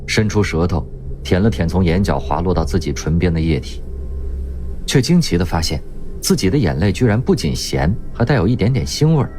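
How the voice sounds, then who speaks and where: A man's voice: low voice, very animated, a little fast, darkish tone, soft background music. A man narrating a radio drama in a storytelling manner, with wry asides. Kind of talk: scripted audiobook-style narration.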